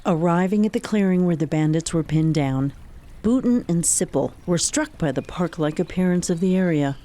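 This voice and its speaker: Mellow American radio drama narrator. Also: medium pitch, expressive, normal speed.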